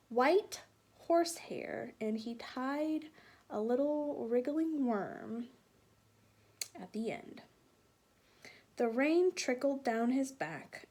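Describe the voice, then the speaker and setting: A woman reading a picture book aloud for children, slowly and deliberately, with sing-song emphasis. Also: high-pitched, mellow. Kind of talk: read-aloud children's storytelling.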